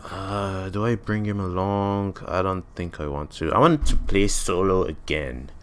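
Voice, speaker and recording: A man's voice: low-pitched, a little slow, a little hiss or hum. A man in his twenties, a native French speaker with a French accent, giving casual, blunt gameplay commentary and swearing freely. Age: 20s. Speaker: gamer.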